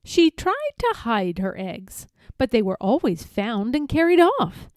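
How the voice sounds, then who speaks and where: Animated woman reading a storybook. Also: a little high, a little slow, no echo, clean studio sound. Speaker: storybook reader. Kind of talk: expressive scripted children's story narration.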